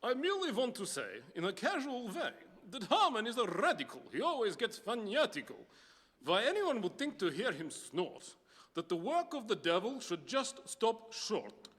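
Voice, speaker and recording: A man's voice: high-pitched, wildly swooping pitch, moderate pace, fairly bright tone, full character voice. A man playing a pompous, scornful tyrant demon, declaiming sneering rhymed lines in a radio play. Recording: reverberant hall recording.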